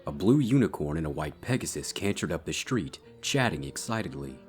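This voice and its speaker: A male narrator with a deep voice reads a scripted story. Quiet instrumental music sits behind the narration. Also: very animated, brisk. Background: soft instrumental guitar music.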